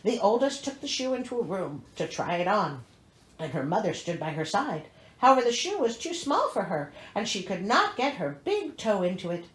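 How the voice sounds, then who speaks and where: A woman's voice: medium pitch, very animated, moderate pace, roomy sound, rough recording. A woman reading a storybook aloud as host, theatrical and wry, breaking in with exasperated, sarcastic asides.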